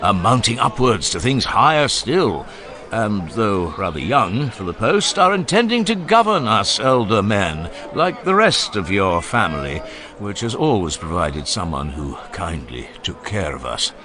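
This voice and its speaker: A man's voice: fairly low pitch, sing-song, unhurried, crowd noise. A man voicing Socrates, an older male philosopher who speaks with teasing irony in a dramatized audiobook. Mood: ironic. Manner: ironic, teasing, expansive. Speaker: voice actor.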